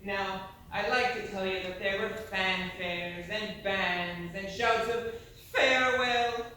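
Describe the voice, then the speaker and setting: A man's voice: high-pitched, steady tone, slow, pushed and bright, heavily acted. A man acting as a declamatory narrator of an emigrant sea voyage in a stage play. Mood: rousing shout. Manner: declamatory, dramatic storytelling. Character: animated storytelling narrator aboard an 1825 emigrant ship. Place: indoor theatre stage. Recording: echoey theatre stage from far off, faint noise.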